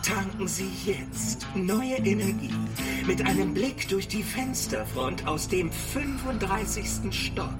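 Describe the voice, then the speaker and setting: An unhurried, mid-pitched female lifestyle coach over prominent music. She is fawning and showy, intoning flattery like an incantation in a children's radio play. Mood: soothing, flattering.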